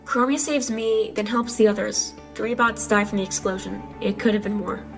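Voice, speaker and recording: A woman voicing an earnest, determined robot heroine in an amateur comic dub, narrating in a reflective, measured way. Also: medium pitch, steady tone, natural voice. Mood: solemn narration. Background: clear music bed.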